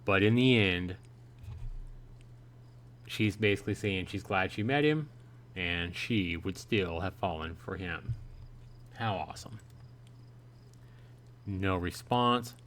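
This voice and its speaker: Male game streamer, lower-pitched voice, casual read-aloud of story dialogue with off-the-cuff reactions. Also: takes it slowly, long pauses, fairly bright tone. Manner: reads the dialogue aloud, then paraphrases and reacts. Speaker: streamer, host of a live play-through. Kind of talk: reading a visual novel aloud with casual reactions and commentary.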